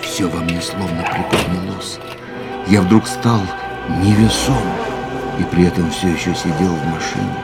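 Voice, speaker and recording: A man's voice: low-pitched, expressive, measured pace, vocal fry, full character voice. A man playing a tense, fatalistic racing driver who recounts his own crash in a dramatic radio play monologue. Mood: fatalistic, dazed. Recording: studio radio drama under loud music.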